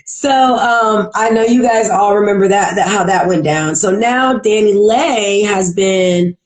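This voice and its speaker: A woman with a heavy, raspy voice and a congested throat, hosting a casual live podcast chat, chatty and full of "like" and "um", often clearing her throat. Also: mid-range voice, takes it slowly, poor audio. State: congested from allergies. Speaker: podcast host. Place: at home in Virginia.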